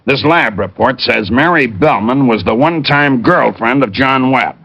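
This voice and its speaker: An actor playing a gruff, matter-of-fact police lieutenant in an old radio drama. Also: male voice, mid-range voice, lively intonation, moderate pace, fairly bright tone. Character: gruff police lieutenant, adult man, dutiful. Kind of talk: 1940s-50s radio drama acting. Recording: dull old radio broadcast with music.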